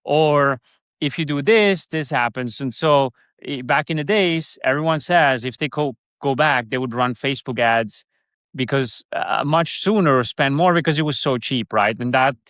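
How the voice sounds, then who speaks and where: A man with a Swiss German accent chats as a podcast guest, circling his points with restarts and fillers. His voice sits in a middle pitch range. The sound is close and dry, with no room around it. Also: moderate pace, a bit bright, no room sound. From Switzerland. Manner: rambling, many restarts and fillers. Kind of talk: casual interview chat.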